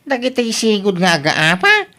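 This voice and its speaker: A woman with an Ilocano accent playing an excitable, gossipy, skeptical villager who exclaims a lot in a comedy radio drama. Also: mid-range voice, normal speed, full character voice.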